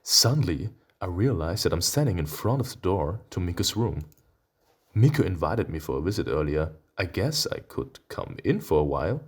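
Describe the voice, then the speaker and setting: A man playing a visual novel, reading its text aloud and breaking into excitable, playful reactions. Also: sits low, husky. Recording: music behind the voice. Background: game music with plucked guitar.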